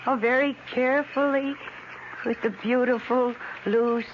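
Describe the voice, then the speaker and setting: A woman's voice: on the high side, fairly even intonation, measured pace, natural voice. An actress playing an eccentric, doting wife who coos and fusses over her plants in an old radio drama. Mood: tender, cooing. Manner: cooing, coaxing, fussing. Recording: noisy, muffled old radio broadcast.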